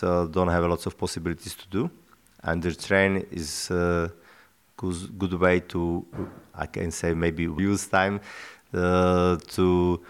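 A man from Slovakia with a very low, clean and resonant voice. He gives an explanatory interview answer, pausing and searching for his words. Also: a bit bright, smooth. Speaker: founder of a tourist attraction.

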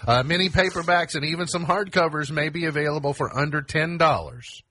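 A man's voice: on the high side, a little fast, bright, forceful tone, a little hiss or hum. A man co-hosting a casual talk show, bantering with dry wordplay between items he reads off a list. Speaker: co-host. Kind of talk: casual banter around reading a gift list.

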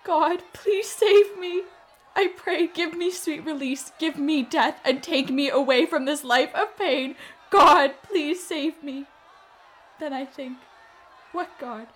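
A woman's voice: high voice, slow pace, a bit bright. An actress playing an anguished, defiant female captive in a radio play, speaking with impassioned declamation. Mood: desperate pleading.

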